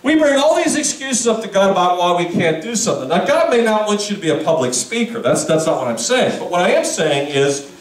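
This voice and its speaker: A male pastor with a high, bouncing voice delivers a loose, conversational sermon. He talks to the room, tossing out asides and questions. The sound is roomy and echoey, picked up from some way off the mic. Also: very animated, fairly quick, hardly any pauses, recorded from a distance. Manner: conversational, chatty preaching with asides and audience questions. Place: church sanctuary.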